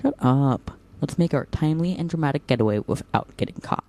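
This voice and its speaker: A man's voice: fairly high pitch, lively intonation, fairly quick. A man playing a whimsical, teasing young operative in an audio drama, talking in a playful, mock-dramatic way.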